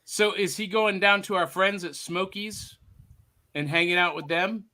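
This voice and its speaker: A man's voice: high voice, steady tone, measured pace, pushed and bright. A middle-aged man hosting a podcast in casual chat, teasing his co-host with jokey banter.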